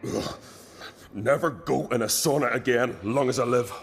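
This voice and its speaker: An actor playing a weary, grateful rescued captive in a fantasy video game, with an informal, wry manner. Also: male voice, medium pitch, moderate pace, gravelly, natural voice. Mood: weary, relieved. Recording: long cave-like reverb.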